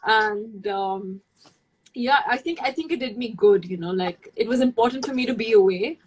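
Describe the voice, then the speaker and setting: Young woman, from India, Hindi native, rambling casual chat, fillers and restarts, fairly high voice. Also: normal speed, slight background noise, poor audio. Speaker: guest. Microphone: phone or video call.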